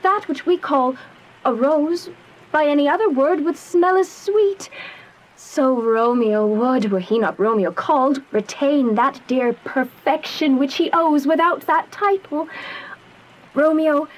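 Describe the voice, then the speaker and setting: An actress playing a young noble maiden in Shakespearean verse, lovestruck and anxious, with an earnest, measured delivery. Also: female voice, high-pitched, rough recording. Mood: yearning. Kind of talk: slow dramatic verse acting.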